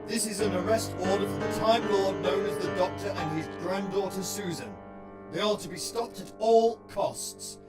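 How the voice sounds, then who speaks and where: Man playing an imperious high-voiced alien president. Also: normal speed, lightly acted. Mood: commanding. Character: imperious, irritable male president of a Time Lord society. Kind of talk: audio drama acting. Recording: loud music over the voice. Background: loud music.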